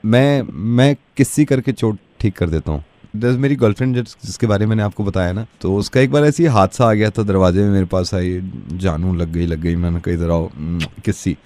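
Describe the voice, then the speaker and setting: A man's voice: low voice, fairly quick, dry recording, pitched below the performer's own voice, natural voice. A man playing an earnest, silly self-styled kissing doctor, telling stories and acting out the dialogue on a prank call. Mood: earnest, playful. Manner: animated storytelling, acting out quoted dialogue. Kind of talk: prank radio comedy.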